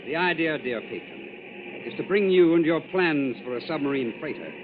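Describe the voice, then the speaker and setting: An actor playing a smug, fanatical young Nazi saboteur in a 1940s radio drama, formal, precise and theatrically gloating. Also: male voice, fairly high pitch, lively intonation, moderate pace, natural voice. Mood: smug, controlled. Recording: muffled, noisy old radio broadcast.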